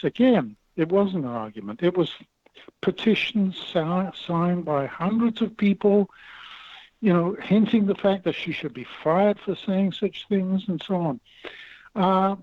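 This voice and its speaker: A man with a high voice speaks as a professor guest, pressing his points with measured emphasis and repetition. The sound is dry and a little dull. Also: dry recording. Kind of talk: talk radio discussion.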